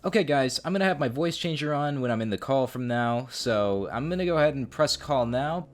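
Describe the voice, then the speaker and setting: A man's voice: medium pitch, expressive, brisk. A man telling a story and speaking directly to his audience and others as a YouTube host. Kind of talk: scripted story narration and confrontation.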